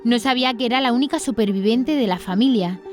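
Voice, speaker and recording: A female news presenter from reads a prepared script. Her voice sits a little high, and music plays underneath. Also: fast talker.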